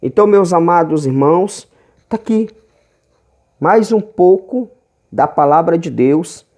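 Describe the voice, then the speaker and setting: A man with a Brazilian accent preaching in a home Bible-teaching video, reading verses slowly and stretching key words, calling listeners brothers. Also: on the high side, expressive, a little mellow. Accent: Brazilian Portuguese. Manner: fervent, calls listeners brothers often.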